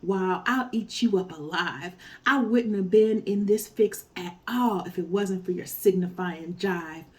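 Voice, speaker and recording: A woman voicing a proud, hot-tempered male lion with a gruff, blustering manner. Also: mid-range voice, measured pace, few pauses, lightly acted.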